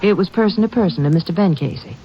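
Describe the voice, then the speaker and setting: An actress playing a prim young phone operator. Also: female voice, on the low side, fast, a little hiss or hum, natural voice. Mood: polite. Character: young female telephone operator, polite. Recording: dull old radio broadcast.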